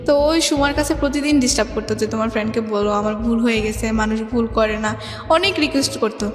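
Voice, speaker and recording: A woman's voice: on the high side, fast. A young woman with a Bangladeshi accent telling her life story as an interview guest in rambling, colloquial speech. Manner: rambling, colloquial, often recounts reported speech. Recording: echoey reverberant room, music underneath.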